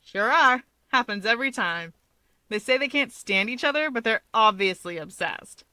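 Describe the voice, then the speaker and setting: Female narrator as blunt young woman, teasing, outspoken, bright voice, audio story. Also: on the high side, expressive, normal speed, no echo.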